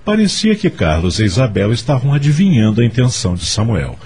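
A man's voice: medium pitch, sing-song, brisk, darkish tone, breathy edge. A man narrating a radio soap opera in scripted storytelling style.

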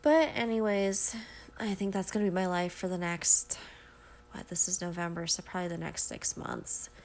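Young woman, stuffy nasal voice, gravelly edges, rambling home podcast chat. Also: lowish voice, moderate pace, darkish tone, slight background noise. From Ohio, USA. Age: 20s. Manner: chatty, rambling, apologetic. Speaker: podcast host. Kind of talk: casual solo podcast chat. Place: at home beside her dog.

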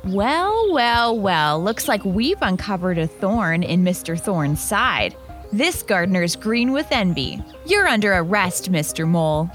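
Woman as resolute lady detective in a radio mystery, wry and brisk, lively pitch, music behind. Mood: determined, triumphant. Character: determined, confident female detective, wryly punning. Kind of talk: comic radio mystery acting.

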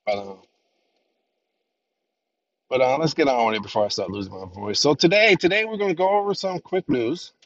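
Gravelly, stuffy-nosed man rambling on a podcast. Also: mid-range voice, animated, moderate pace, pauses often, recorded from a distance. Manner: rambling, filler-heavy. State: nasal from a lingering cold. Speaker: podcast host. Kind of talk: casual solo podcast talk. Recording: dull lo-fi, a bit off-mic.

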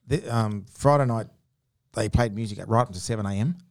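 An Australian man hosts a relaxed podcast chat in a slightly low voice. He is chatty and laughs often, restarting sentences as he goes. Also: brisk. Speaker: podcast host. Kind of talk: casual chat.

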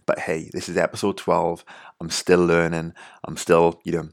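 A man's voice: sits low, monotone, normal speed, vocal fry. A man with a Welsh accent hosting a podcast, talking casually with lots of "you know" and restarts. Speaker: podcast host. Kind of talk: casual podcast intro monologue.

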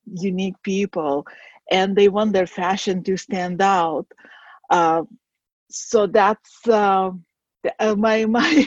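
A woman with a Lithuanian accent, a guest designer on a podcast, speaking hesitantly with many pauses and fillers. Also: mid-range voice, darkish tone, dry recording, dull audio. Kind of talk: podcast interview.

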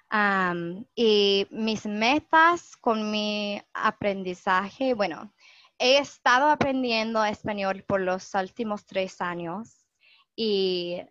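Young woman, english is her first language, slow careful spanish, lots of ums, dull dry lo-fi sound. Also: medium pitch, a bit bright, dry recording. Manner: slow and thoughtful, with many "am" fillers and restarts. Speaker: guest. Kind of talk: casual conversation in learned Spanish.

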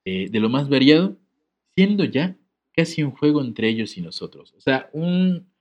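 A man's voice: mid-range voice, sing-song, moderate pace, muffled recording. A man co-hosting a podcast, reading a letter aloud and breaking off into jokes and laughter. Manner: joking, laughs often, reads with comic asides. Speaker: podcast co-host.

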